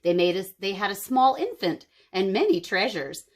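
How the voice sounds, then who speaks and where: A woman's voice: mid-range voice, sing-song, normal speed, close to an everyday voice. A woman voicing a kindly, grave old woman in a storybook, speaking in a solemn, dramatic way.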